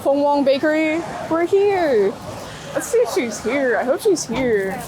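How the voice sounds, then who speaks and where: Young woman chatting casually, higher-pitched voice, street noise. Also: animated, measured pace. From Brooklyn, New York. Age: young adult. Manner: informal, slangy, frequent like and you know. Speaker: street photographer being interviewed. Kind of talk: casual chat while walking. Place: outdoors on busy city streets. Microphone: wireless clip-on mic. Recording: noisy city street with traffic.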